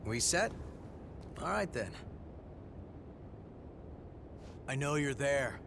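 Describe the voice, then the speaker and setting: Easygoing, wry companion, lively intonation. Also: male voice, mid-range voice, measured pace, vocal fry, background noise. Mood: relaxed. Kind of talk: video game voice acting. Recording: game cutscene with faint music.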